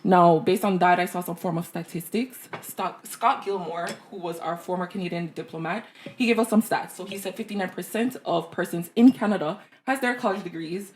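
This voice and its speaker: A woman's voice: lowish voice, brisk, a little mellow, slight background noise. Jamaican-accented woman in her twenties. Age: 20s. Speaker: podcast co-host. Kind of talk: casual podcast chat. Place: small living room studio. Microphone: desk studio mic.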